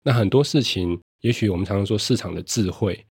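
A man's voice: on the low side, fairly quick, a little mellow, slightly rough, no room sound, studio quality. A man with a Taiwanese Mandarin accent explaining things earnestly and at length, as a guest judge in a conversational interview.

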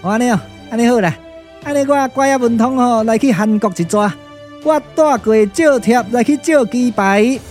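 A man's voice: very high-pitched, moderate pace, darkish tone, soft background music. A man with a Taiwanese accent playing a boastful, bumbling shape-shifting younger brother, chatty and full of exclamations, in a puppet-theatre radio drama. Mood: eager, boastful.

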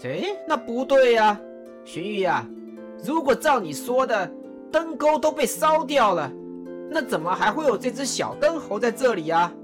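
A performer voicing a commanding male warlord who questions slowly. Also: mid-range voice, sing-song, over music, natural voice. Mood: puzzled.

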